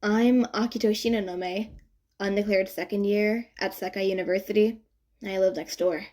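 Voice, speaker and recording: A woman's voice: mid-range voice, fairly even intonation, moderate pace, warm tone, lightly acted. A woman voicing a grouchy, groggy young male college student who mutters tersely and awkwardly. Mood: awkward, reluctant. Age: young adult.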